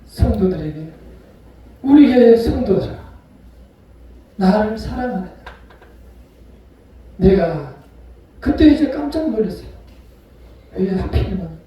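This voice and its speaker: A male pastor preaching a sermon, retelling a story with acted-out voices and sharp swings between loud and soft. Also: high voice, animated, slow pace, pauses often. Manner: storytelling, acts out quoted voices. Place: church sanctuary. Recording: echoey hall from far off.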